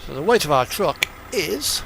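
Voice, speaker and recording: A man's voice: a little high, big pitch swings, normal speed, gravelly, fairly bright tone, slight background noise. A man giving casual live commentary as he streams a game, chatting and thinking aloud.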